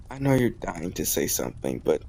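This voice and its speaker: Man acting as young male love interest, deepish gravelly voice, warm, teasing, conversational, intimate romantic roleplay. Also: exaggerated voice. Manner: casual, gently teasing, conversational with soft chuckles. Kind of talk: romantic audio roleplay, spoken intimately to the listener.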